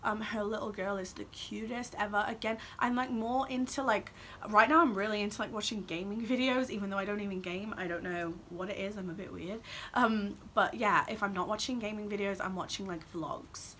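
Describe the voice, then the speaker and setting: A woman's voice: mid-range voice, fairly even intonation, brisk, a bit bright, a little hiss or hum. A woman, chatting casually and ramblingly to camera as a vlogger, saying "like" often. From Wales and Australia. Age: 20s. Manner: rambling, informal, heavy use of "like".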